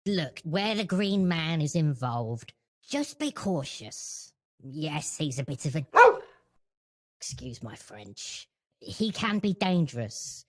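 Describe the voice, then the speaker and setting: A woman's voice: low voice, big pitch swings, full character voice. A woman voicing a wary, scolding magical teacher in an improvised tabletop roleplaying game. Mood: suspicious. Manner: brisk, scolding, cautioning.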